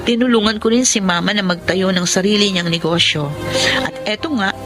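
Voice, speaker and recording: A woman's voice: medium pitch, a little fast, natural voice. A young woman playing a devoted, grateful daughter in a Filipino radio drama, speaking earnestly and sentimentally over music. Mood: grateful, reflective. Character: devoted, grateful young adult daughter narrating her life. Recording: music bed behind voice.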